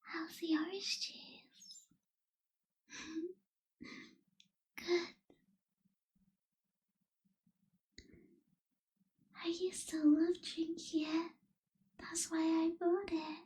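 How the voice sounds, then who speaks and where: Casting: actress for melancholy young female vampire, ASMR roleplay; very high, mellow, breathy whisper, wistful and hushed. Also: level tone, exaggerated voice. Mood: wistful, tender. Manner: slow, hushed, wistful, gentle pauses. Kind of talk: ASMR roleplay, soft whispered intimate delivery.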